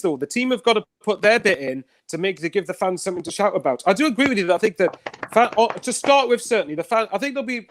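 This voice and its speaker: Quick-talking man. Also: sits high. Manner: measured, builds points with repeated phrasing. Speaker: fan channel panelist. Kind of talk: live fan show debate.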